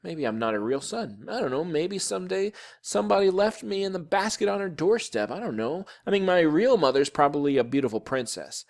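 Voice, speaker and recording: A man's voice: fairly high pitch, very animated, fairly quick, creaky, hardly any pauses, voice pushed up. Man as an indignant, put-upon schoolboy. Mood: sulky. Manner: exasperated, plaintive. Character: grade-school boy, indignant, put-upon older brother. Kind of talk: audiobook character voice.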